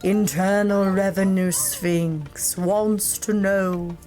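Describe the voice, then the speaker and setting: Woman doing a lowered, slow, grave sphinx oracle for a livestream. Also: a little mellow. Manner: slow, grave, measured delivery.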